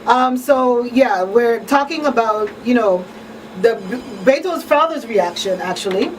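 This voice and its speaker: A woman hosts a live radio talk show in a fairly high voice. She chats with listeners from a small studio. The sound is slightly distant, with a light layer of background noise. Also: a little slow. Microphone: studio microphone on boom arm. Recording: off-mic room sound, faint noise.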